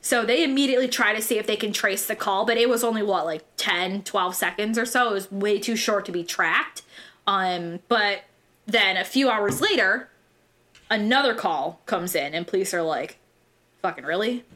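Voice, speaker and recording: Woman in her 20s, mid pitched, chatty podcast cohost, giggly and swears a lot, super casual. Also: normal speed, pushed and bright. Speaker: true crime podcast co-host. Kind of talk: casual conversational storytelling with profanity.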